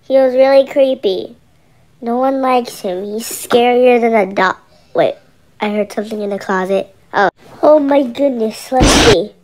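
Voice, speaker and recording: Slow-talking nervous boy, voiced by a youngster. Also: mid-range voice, a little mellow, natural voice, low-quality recording. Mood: wary, muttering. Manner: talks to himself, casual youthful slang. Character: scared young boy trapped in nightmares. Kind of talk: amateur voice-over for an animated horror roleplay.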